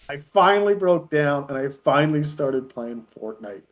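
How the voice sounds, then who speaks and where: Higher-voiced man telling casual stories. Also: dull audio. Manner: animated storytelling, frequent chuckling, halting self-corrections. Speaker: podcast guest, parody web series creator. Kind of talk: casual chat, anecdotes.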